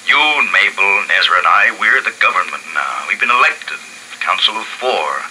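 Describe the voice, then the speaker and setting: An actor playing a steady community leader in his fifties, narrating calmly and speaking firmly, in vintage radio drama style. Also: deep voice, slight background noise, exaggerated voice, rough recording. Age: 50s. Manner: calm, firm, measured narration.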